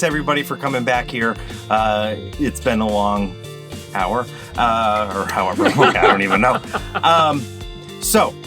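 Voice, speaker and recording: A man presenting a quiz question in casual comedic chat, rambling and laughing at his own slips. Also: mid-range voice.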